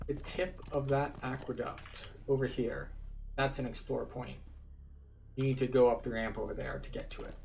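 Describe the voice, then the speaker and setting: A man walks through a video game, explaining in a casual, relaxed way. His voice sits at a middle pitch, and a faint hiss runs underneath. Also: moderate pace, creaky. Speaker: game playthrough guide. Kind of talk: casual let's-play commentary.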